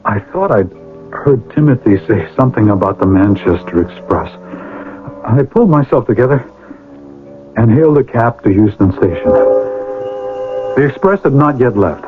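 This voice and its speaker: An actor playing an earnest, righteous older brother, theatrical and formal in an old-time radio drama. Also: male voice, mid-range voice, lively intonation, moderate pace, pauses often, faint music behind, natural voice. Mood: earnest, confessional. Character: earnest, righteous older brother, a pleading moralist, narrating his past. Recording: noisy, tinny vintage radio recording.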